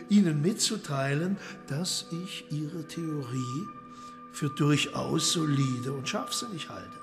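An actor in a German radio play voicing a suave, courteous but menacing devil lord with a ceremonious, deliberate manner. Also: male voice, on the high side, vocal fry, heavily acted. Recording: long hall reverb under loud music.

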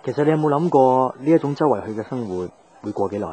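An actor playing a reflective young traveller in a radio drama, narrating and chatting unhurriedly with a Hong Kong Cantonese accent. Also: male voice, mid-range voice, animated, fast talker, warm tone, some chatter behind, lightly acted. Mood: curious, wistful. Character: reflective young man travelling, troubled by love.

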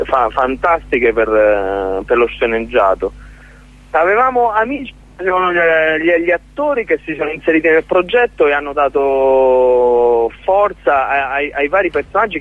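A man being interviewed as a guest about his theatre collective, talking in a halting way with many restarts and repeated words. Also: a little high, no room sound. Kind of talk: casual radio interview. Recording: tinny phone line.

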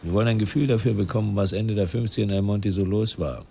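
A police sergeant amid outdoor noise. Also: male voice, on the low side, quick pace, creaky, dark tone. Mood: businesslike. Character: matter-of-fact male American police detective sergeant. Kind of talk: German radio drama acting.

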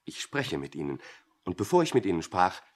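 An actor playing a pedantic, unworldly young office thinker in a German radio drama, dry, exact and over-literal. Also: male voice, sits low, very animated, a little fast.

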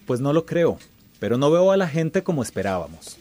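A man playing an impatient, scheming company man, curt and husky, in a radio drama. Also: on the high side, very animated, brisk, mellow.

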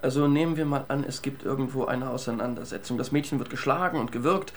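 A man's voice: medium pitch, fast talker, slight background noise, close to an everyday voice. An actor playing a cheeky, thoughtful male police detective in a German radio crime drama, speaking in a wry, conversational way. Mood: pondering.

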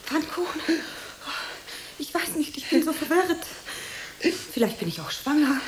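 A woman playing an overwrought, romantic young aspiring actress in a radio drama, with an expressive, theatrical delivery. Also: high voice, a little slow. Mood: flustered. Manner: melodramatic, expressive. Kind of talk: German radio drama acting.

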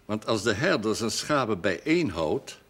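An older man playing a pompous, self-satisfied parish priest in a television drama, speaking in ornate, deliberate phrases. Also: lowish voice, big pitch swings, slightly rough, full character voice. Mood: pompous, stately.

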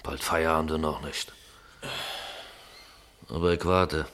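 A man's voice: a bass voice, fairly even intonation, takes it slowly, fairly bright tone, a little hiss or hum, exaggerated voice. An actor playing a weary, smug policeman on duty in a German radio play, laconic and musing. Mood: laconic, waiting.

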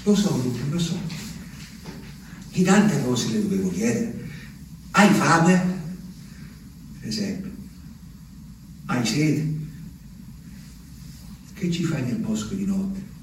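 An actor playing a simple, haunted country man who tells his story softly and breaks into strained outbursts, in a radio drama. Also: medium pitch, sing-song, takes it slowly, pauses often, recorded from a distance, slight background noise. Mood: hushed. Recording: echoey radio broadcast, voice a bit off mic.

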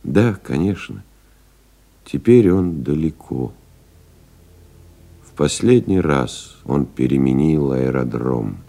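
Deep, unhurried, solemn pilot. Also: male voice, lively intonation, vocal fry, warm tone, exaggerated voice. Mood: mournful, resigned. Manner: reflective, solemn, measured, dramatic pauses. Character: pensive, solemn airmail pilot recalling lost comrades. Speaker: actor reading a literary memoir as narrator. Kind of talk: literary audio drama monologue.

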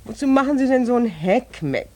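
High-voiced, gossipy housewife. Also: female voice, expressive, a little fast, darkish tone. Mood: amused, nosy. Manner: gossipy, blunt, breezy about grim things. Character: chatty, callous working-class Hamburg housewife, a new tenant. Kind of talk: 1940s German radio drama acting.

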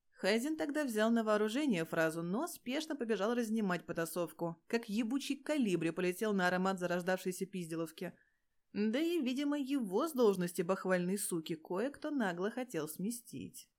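A woman narrates fan fiction in a mid-pitched voice. Her reading is expressive and scripted, with a sardonic, streetwise edge and plenty of crude slang. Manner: sardonic, crude slangy storytelling with frequent swearing.

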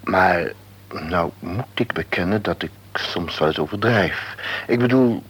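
An actor playing a frank, cautious male hospital doctor in a radio play, speaking in a measured, formal way. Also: sits low, fairly bright tone, slightly rough, exaggerated voice.

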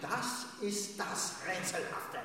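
An actor playing a pompous, indignant official who accuses in a declamatory manner in a radio play. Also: male voice, high-pitched, slow, bright, forceful tone, a little hiss or hum, heavily acted. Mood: accusing. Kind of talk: German radio play acting. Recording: echoey hall, distant from mic.

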